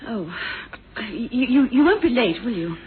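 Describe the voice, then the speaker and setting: An actress playing a hesitant, deferential wife in a radio mystery, speaking in halting, polite phrases. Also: female voice, fairly high pitch, big pitch swings, breathy edge, phone-quality sound, exaggerated voice. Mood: timid, anxious. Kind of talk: 1970s radio drama acting. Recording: thin old radio recording, faint noise.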